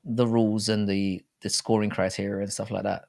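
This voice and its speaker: A man's voice: lowish voice, level tone, brisk, creaky, darkish tone. A middle-aged British man co-hosting a casual live sports chat, blunt and sweary as he rambles through banter. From Britain. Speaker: live stream co-host.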